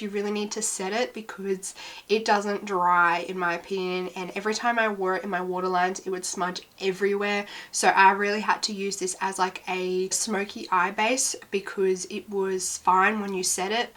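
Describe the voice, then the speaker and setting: A woman's voice: medium pitch, fairly bright tone. A young woman reviewing beauty products she used up, talking casually and giving blunt opinions, her voice a little off as she recovers from a cough. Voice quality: voice sounds slightly weird. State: recovering from illness, slight cough. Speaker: beauty vlogger.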